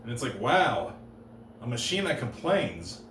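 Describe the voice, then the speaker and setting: A man doing deadpan one-liner stand-up comedy on a stage, halting and restarting between jokes. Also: mid-range voice, slight background noise. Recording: roomy live room, heard from a distance.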